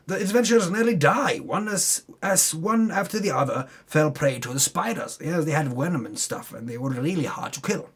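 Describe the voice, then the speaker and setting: A man reads a fantasy campaign recap as a storyteller, theatrical and tongue-in-cheek. His voice is husky and sits a little high for a man. The pitch swings widely as he drops in wry asides. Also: fairly bright tone. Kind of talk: dramatic reading with wry ad-libbed asides.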